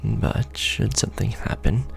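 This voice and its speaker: Man acting as a brooding vampire lord, very creaky low voice, hushed, formal, possessive, ASMR roleplay, roomy echo, music behind. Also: fairly even intonation. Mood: grave, determined. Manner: formal, hushed, deliberate, theatrical aristocratic address.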